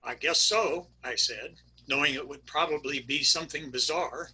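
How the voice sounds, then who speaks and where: Man telling a wry family story, real bright sharp tone, little husky. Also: fairly high pitch, normal speed, rough recording. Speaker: narrator. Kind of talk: reader's theater narration. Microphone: video call.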